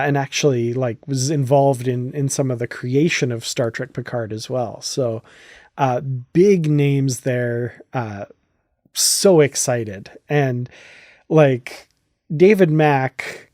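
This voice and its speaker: A man hosting a podcast, speaking in an effusive, excitable and enthusiastic way. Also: medium pitch, takes it slowly, dry recording, clean studio sound. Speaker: podcast host. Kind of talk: enthusiastic casual podcast discussion.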